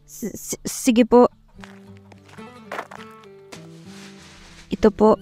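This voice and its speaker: A woman's voice: on the high side, flat delivery, measured pace, dark tone, faint music behind, lightly acted. Actress as earnest, shy young farm woman. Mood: shy, apologetic. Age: young adult. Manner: polite, hesitant when addressing others. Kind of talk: first-person radio drama narration and dialogue.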